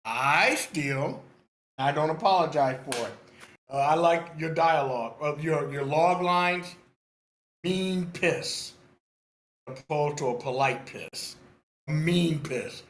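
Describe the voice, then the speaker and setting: A bright, fairly high-voiced man delivers an informal script critique. He is theatrical and emphatic, hammering phrases by repeating them, and the room around him echoes. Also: takes it slowly, a little hiss or hum. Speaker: screenplay reader giving feedback. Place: online video meeting.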